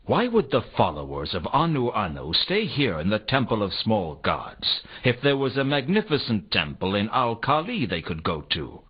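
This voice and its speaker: A man's voice: fairly low pitch, huge pitch swings, normal speed, few pauses, breathy edge, exaggerated voice. A man playing a world-weary, dryly sardonic private detective in a noir video game. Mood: dry, inquisitive. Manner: dry, sardonic. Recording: dull, lo-fi game audio.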